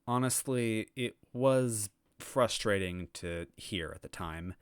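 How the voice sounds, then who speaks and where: Low-voiced man in a roomy echo. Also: slow pace, clear, resonant tone, studio quality. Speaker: fan fiction reader and channel host. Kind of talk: casual reflective outro to viewers. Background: background music.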